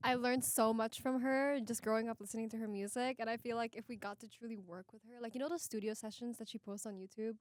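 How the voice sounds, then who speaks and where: Earnest young woman, slightly high voice. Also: fairly even intonation, quick pace, few pauses, dry recording, clean studio sound. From Philippines. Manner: earnest, enthusiastic. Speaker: pop group member. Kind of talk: casual interview chat. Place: radio studio.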